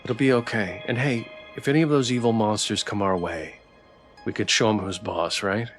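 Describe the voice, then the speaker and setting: A man voicing a caring, earnest young protagonist in a visual novel, gently reassuring, with music behind him. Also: on the low side, fairly even intonation, moderate pace, close to an everyday voice. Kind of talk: visual novel character reading.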